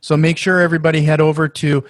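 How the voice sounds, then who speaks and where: A man hosting a podcast in casual chat, talking in quick restarts with filler words and eager reactions. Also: on the high side, little pitch movement, no room sound, clean studio sound. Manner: friendly, stumbling restarts and "uh", enthusiastic reactions. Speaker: podcast host.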